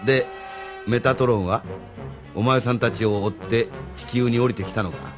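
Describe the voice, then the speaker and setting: A man's voice: medium pitch, moderate pace, over music, natural voice. An actor in a Japanese audio drama playing a sardonic, unruffled retired rebel in his seventies, speaking with blunt familiarity. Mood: sardonic, challenging. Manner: familiar, gruffly worldly, sardonic. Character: wry, unruffled 75-year-old retired male rebel activist.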